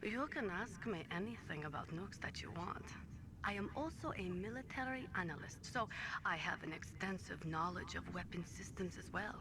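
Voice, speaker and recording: A woman playing a serious, steely nuclear weapons analyst in a video game, speaking formally and deliberately. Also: lowish voice, animated, noisy background. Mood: earnest, resolute.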